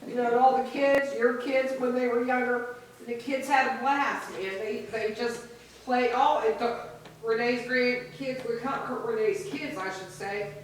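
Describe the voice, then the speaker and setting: A middle-aged woman stands up at a memorial service to share halting, heartfelt memories. Her voice sits a little high and reaches the mic from a distance. The church rings with a long echo. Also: steady tone, a little hiss or hum. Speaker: mourner sharing memories. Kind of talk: informal memorial remarks. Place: church sanctuary. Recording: echoey room, mic far off.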